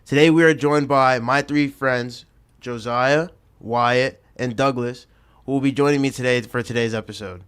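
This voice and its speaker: A young man of about nineteen hosts a roundtable chat. His voice is smooth and clear, at a middling pitch for a man. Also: fairly even intonation, normal speed, a bit bright. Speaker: talk show host.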